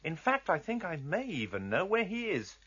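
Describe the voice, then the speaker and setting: An actor playing an earnest, determined exile on a search in a radio drama, with a slightly husky, intense voice. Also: male voice, sits high, wildly swooping pitch, moderate pace, a bit bright, muffled recording, heavily acted. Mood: thoughtful, resolved.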